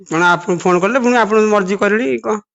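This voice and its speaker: A man whose first language is Odia, halting through a phone call with many restarts. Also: high-pitched, creaky, a bit bright. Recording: muffled phone call recording.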